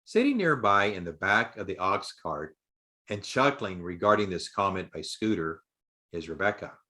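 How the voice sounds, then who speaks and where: A man reading a story aloud as a narrator, with measured, deliberate phrasing and dramatic pauses. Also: sits low, big pitch swings, sharp tone. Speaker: audiobook narrator.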